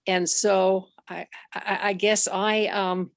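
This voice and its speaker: A woman with noticeable vocal fry offers her take in a book discussion, recorded close and dry. She is tentative, pausing and restarting as she reflects. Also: medium pitch, little pitch movement, dry recording. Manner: tentative, halting. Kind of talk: reflective opinion in group discussion.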